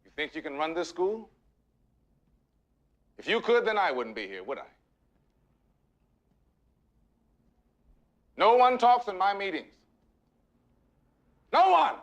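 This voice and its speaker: Fierce school principal in a movie drama, really high pitched for a guy, imperious, laying down the law. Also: wildly swooping pitch, a little slow, long pauses, dull audio, exaggerated voice. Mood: angry challenge. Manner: forceful, imperious, declamatory. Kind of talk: dramatic film acting.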